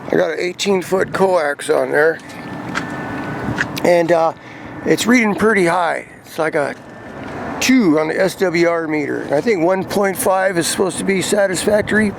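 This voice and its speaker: A man's voice: high-pitched, measured pace, vocal fry, noisy background. A man giving a casual hands-on vlog demonstration, rambling and thinking aloud, in and around a parked truck. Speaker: vlogger demonstrating CB radio antennas.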